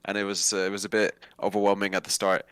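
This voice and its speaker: A young man in his twenties from Nigeria chats casually in an interview. His voice sits fairly low and is smooth and resonant, captured close and dry. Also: little pitch movement, fast, a bit bright, no room sound. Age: 20s. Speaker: interview guest, novelist.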